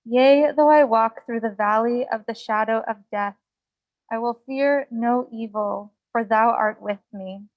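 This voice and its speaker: A woman officiating at a funeral, reciting scripture in measured, reverent phrases. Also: fairly high pitch, no room sound, dull audio.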